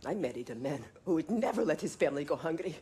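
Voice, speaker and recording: An actress playing a gentle, protective farm wife and mother, speaking in careful, formal phrases in a dramatic video game. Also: sits low, expressive, fast, slight background noise. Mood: bitter, defiant.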